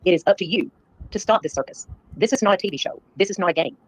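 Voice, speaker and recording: A woman hosting a livestream, addressing her viewers in an earnest, halting way. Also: fairly low pitch, animated, breathy edge. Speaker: live stream host. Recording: dull, lo-fi livestream playback.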